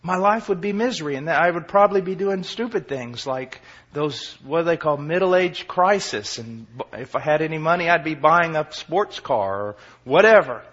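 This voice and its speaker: A fervent man in his forties preaches with a husky, somewhat high voice. He drops to near murmurs and then surges into shouts. The recording sounds dull and lo-fi. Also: lively intonation, normal speed, few pauses. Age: 40s. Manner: impassioned, swinging from quiet to shouting; self-deprecating humor. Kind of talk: sermon.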